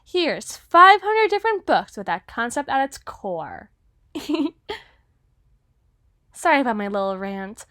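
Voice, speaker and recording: A woman's voice: a little high, big pitch swings, slow, pauses often, pushed and bright, no room sound, studio quality, heavily acted. A woman playing a gushing, obsessively lovestruck teenage girl writer in an ASMR roleplay monologue, flustered and rambling. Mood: gushing, excited.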